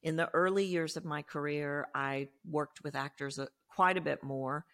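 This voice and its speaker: A woman from Texas with a Texan accent, a voice coach explaining her work in a podcast interview in an emphatic, animated way. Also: low-pitched, normal speed. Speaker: voice coach guest.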